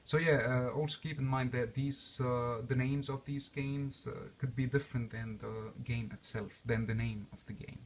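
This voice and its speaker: A man's voice: mid-range voice, steady tone, moderate pace, few pauses, slight background noise. A young man with a foreign accent casually reviewing a game console, rambling with lots of fillers and restarts. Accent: non-native English accent. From born and raised outside the US. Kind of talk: casual unscripted walkthrough.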